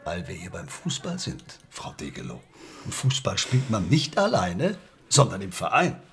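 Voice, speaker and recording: An actor playing a defensive, blustering middle-aged football club boss with an emphatic manner in a screen drama. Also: male voice, deep voice, wildly swooping pitch, normal speed, a bit bright, slight background noise, exaggerated voice. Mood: angry, forceful. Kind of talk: dramatic film dialogue.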